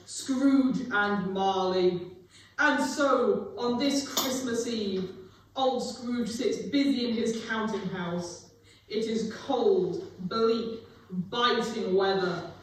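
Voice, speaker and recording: A woman playing a dead man's ghostly narrator, telling the story in a deliberate, emphatic, dramatic way. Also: medium pitch, lightly acted. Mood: ominous storytelling. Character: Marley, dead business partner, grave storyteller of Scrooge's tale. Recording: very echoey hall, distant mic, faint noise.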